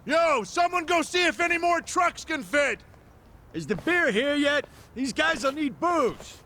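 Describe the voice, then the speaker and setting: A bossy, harried gangster in a video game drama, barking orders at a very high, strained pitch. The tone is sharp and forceful, with faint noise around it. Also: male voice, expressive, unhurried, full character voice. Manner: barking, impatient. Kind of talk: English video game dub acting.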